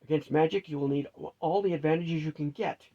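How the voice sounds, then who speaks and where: A man voicing a stern combat mentor in a storybook, instructing in an emphatic, deliberate way. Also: a little high, mellow, lo-fi sound, natural voice.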